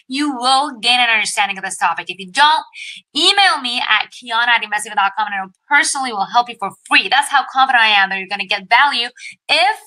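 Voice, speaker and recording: An upbeat woman from Iran hosts an investing webinar and addresses her viewers directly. Her voice is bright and sits a little high. She tells her own story in a scripted presentation style. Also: poor audio. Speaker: investing educator hosting a webinar.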